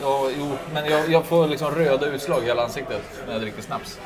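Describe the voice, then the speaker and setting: A man in his thirties co-hosting a casual podcast in a pub, joking and reading reviews aloud. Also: medium pitch. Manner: jokey, laughing, quick restarts. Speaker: podcast co-host. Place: busy pub. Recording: noisy pub with crowd chatter. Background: crowd chatter.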